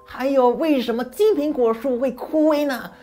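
A man voicing the devil's old grandmother, talking softly and slowly. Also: extremely high pitch, soft background music, pitched above the performer's own voice. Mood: scolding.

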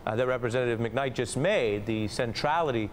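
Man, news anchor, studio, lapel mic, measured questions, slight background hiss. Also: medium pitch. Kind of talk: live cable news anchoring.

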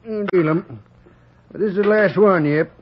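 Friendly old-west sheriff, kinda high drawly voice, noisy old radio. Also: male voice, measured pace. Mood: amiable, sleepy. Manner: folksy drawl. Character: amiable, sleepy male small-town western sheriff. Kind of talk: 1940s radio western acting. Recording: muffled, noisy vintage radio recording.

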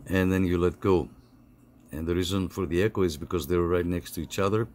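Low-voiced man giving a halting tutorial. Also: fairly even intonation, darkish tone. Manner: halting, step-by-step delivery. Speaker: tutorial host. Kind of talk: casual step-by-step phone app tutorial. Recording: clear background noise.

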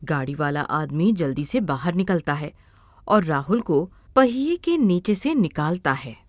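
A woman's voice: deep voice, expressive, measured pace, dry recording, studio quality. A woman narrating a scripted moral story as its storyteller.

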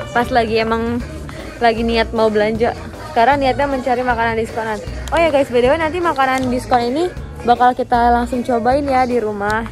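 A young woman chatting casually as she films a shopping vlog, upbeat and explaining things as she goes. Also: fairly high pitch. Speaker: vlogger. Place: supermarket, then home dining room. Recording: background music.

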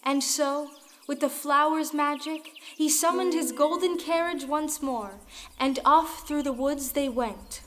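A woman's voice: sits high, measured pace, fairly bright tone, a little hiss or hum. A woman delivering scripted narration in a folktale audio drama. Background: music.

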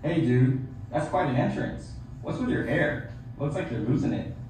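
A man's voice: fairly high pitch, animated, normal speed, darkish tone, natural voice. Man acting boastful schoolboy bully, mocking, puppet show, very echoey, faint noise. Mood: cheeky. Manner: taunting, sneering. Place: theater, camera far from puppet stage. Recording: distant echoey theater recording, faint noise.

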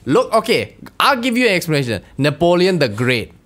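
A man's voice: fairly high pitch, sing-song, brisk, a bit bright. A man co-hosting a podcast in casual chat, who stammers, restarts sentences and searches for words as he talks. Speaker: podcast co-host.

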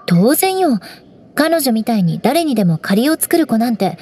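A woman's voice: a little high, sing-song, moderate pace, dark tone, slightly rough. A woman playing a proud, prickly young noblewoman knight in an anime game, composed and haughty. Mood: teasing, proud. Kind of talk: Japanese anime game voice acting.

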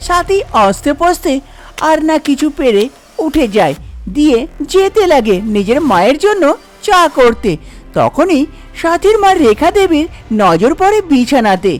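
A woman's voice: high voice, very animated, unhurried, a little mellow, faint music behind. A woman narrating a scripted cartoon folk tale as its storyteller.

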